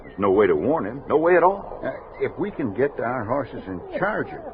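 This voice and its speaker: Man acting animated, worried frontier soldier, noisy radio. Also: mid-range voice, moderate pace, narrow, tinny audio, natural voice. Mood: worried, urgent. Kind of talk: 1960s western radio drama acting. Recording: very noisy, band-limited 1960s radio recording.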